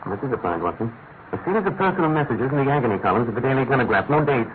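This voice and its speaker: Brisk, theatrical English detective played by a man. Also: medium pitch, animated, noisy background, close to an everyday voice. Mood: absorbed, intent. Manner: theatrical, precise, commanding. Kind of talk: classic British radio drama acting. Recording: tinny band-limited old radio recording, music behind.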